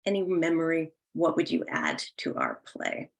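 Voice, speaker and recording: A woman hosts an online listening session in a fairly low voice. She talks informally, inviting responses from the people taking part. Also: huge pitch swings, normal speed, vocal fry. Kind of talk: announcing and casual event hosting.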